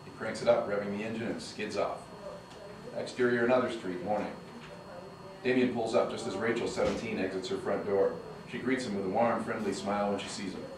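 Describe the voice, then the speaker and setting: Deep-voiced man reading stage directions. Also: steady tone, fairly quick. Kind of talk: screenplay table read narration. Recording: echoey room from a distance, noisy.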